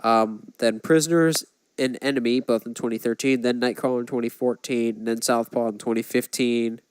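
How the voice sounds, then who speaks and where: Guy on a movie podcast, smooth clear voice, dry close sound, pretty opinionated. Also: medium pitch, fairly even intonation, normal speed, no echo, low-quality recording. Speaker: podcast co-host. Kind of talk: casual film discussion.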